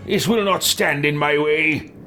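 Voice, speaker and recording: A man's voice: fairly high pitch, a little slow, over music. A man hosting a let's play, commenting in character on a video game in a theatrical manner.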